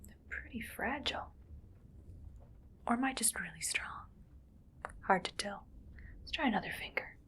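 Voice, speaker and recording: A woman playing a bossy, musing female mad scientist in a whispered ASMR roleplay, speaking in a breathy, clinical, quietly menacing way. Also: a little high, expressive. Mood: curious, menacing. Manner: slow musing, clinical, domineering. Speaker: ASMR performer.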